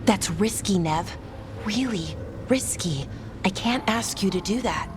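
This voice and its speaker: An actress playing an eager young elven tinkerer who talks in a quick, nervous, excitable way in a video game cutscene. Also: female voice, medium pitch, noisy background, close to an everyday voice. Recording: game audio with music.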